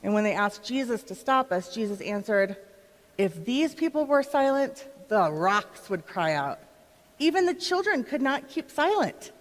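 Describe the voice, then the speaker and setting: Excited woman of ancient Bethany, played by a church performer, fairly high voice, storytelling straight to listeners in a reverberant hall. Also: measured pace. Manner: storytelling, addressing listeners directly. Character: excited woman of Bethany, Lazarus's sister, witnessing Jesus. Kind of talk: dramatic monologue in a church performance.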